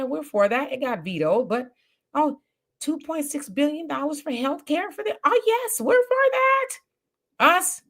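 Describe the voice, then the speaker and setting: Bright-voiced woman hosting a live chat. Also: high voice. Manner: reads viewer comments aloud and answers them; emphatic, conversational. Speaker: host of a live discussion. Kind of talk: casual live-stream commentary.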